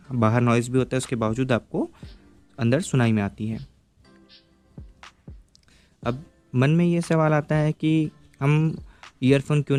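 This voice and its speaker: A man's voice: mid-range voice, a little mellow. A man giving a casual, step-by-step product review, explaining patiently and checking in with the viewer as he goes.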